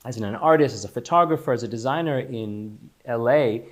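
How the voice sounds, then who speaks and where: A man born in Israel and raised in Los Angeles talks about his work as an interview guest. He speaks in a loose, meandering way, often restarting and repeating words, in a voice of ordinary middle pitch. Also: a little fast. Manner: loose, searching delivery with frequent restarts and repeats. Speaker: guest, company founder. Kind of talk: casual interview chat.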